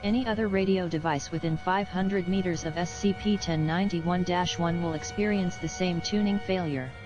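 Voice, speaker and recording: A narrator reading a formal document in a measured, clinical way, as scripted narration. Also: medium pitch, fairly even intonation. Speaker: narrator reading a fiction wiki entry. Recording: dull lo-fi voice-over with music behind. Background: music.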